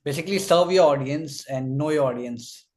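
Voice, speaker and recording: A man's voice: fairly high pitch, normal speed, a little mellow. A man hosting a casual interview, agreeing and summing up points. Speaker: host.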